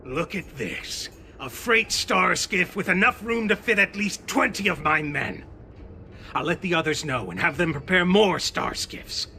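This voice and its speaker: An actor playing a scheming beast-man villain leader in a video game, hushed when plotting and barking orders in rage. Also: high-pitched, expressive, unhurried, slight background noise, full character voice. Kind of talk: game voice acting.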